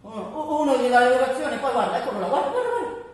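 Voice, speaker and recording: A woman's voice: sits high, big pitch swings, very fast. A woman playing a lonely, reproachful mother in a stage drama, lamenting and repeating her words. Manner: repetitive, lamenting. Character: lonely, reproachful mother, praying and scolding her grown son. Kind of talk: theatrical stage acting. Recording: very echoey, far from the mic.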